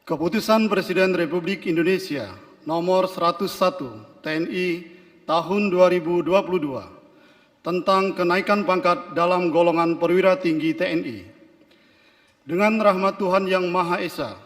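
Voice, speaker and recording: High-pitched man reading a decree, reverberant hall. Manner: solemn, official, deliberate. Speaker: military secretary reading a decree. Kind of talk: formal reading of an official decree.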